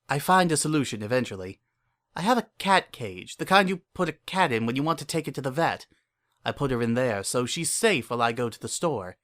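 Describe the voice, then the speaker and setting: A man's voice: mid-range voice, a bit bright, close to an everyday voice. A man playing a lonely, delusional, obsessive narrator in a first-person dramatic story reading, with an earnest and uneasy intensity. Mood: anxious, protective. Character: lonely, obsessive, delusional man confiding his private devotion.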